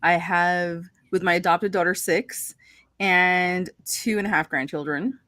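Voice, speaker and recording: A woman's voice: lowish voice, fairly even intonation, measured pace, no echo, clean studio sound. An older woman, a guest introducing herself in a chatty way with many fillers, speaking over a video call. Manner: chatty, warm-hearted, filler-heavy. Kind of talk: casual self-introduction.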